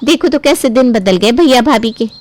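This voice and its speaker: A woman's voice: fairly high pitch, animated, normal speed, darkish tone, no echo, pitched above the performer's own voice, close to an everyday voice. A woman voicing an envious, scheming younger sister-in-law, exclamatory and lamenting, in a cartoon family story. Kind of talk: acted dialogue in a Hindi cartoon family story.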